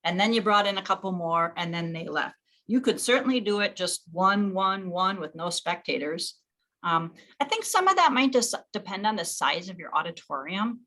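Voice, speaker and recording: A woman's voice: mid-range voice, expressive, normal speed, pushed and bright. A woman leading a staff meeting, explaining things informally and thinking aloud in a casual, conversational way. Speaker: meeting leader.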